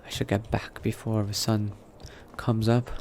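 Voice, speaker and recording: A man's voice: fairly low pitch, little pitch movement, measured pace, a little mellow. A man voicing a cautious, coldly pragmatic new vampire in a roleplay, muttering to himself and often dropping into a whisper. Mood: cautious, hurried. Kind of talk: in-character roleplay narration, thinking aloud. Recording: noticeable background noise.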